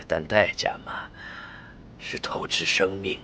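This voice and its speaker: An actor playing a fanatical, slippery mad scientist villain in an animated drama, with theatrical delivery. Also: male voice, low-pitched, slow, slight background noise, heavily acted. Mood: smug, gloating. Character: smug fanatical male mad scientist villain, cowardly when threatened. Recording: loud background music.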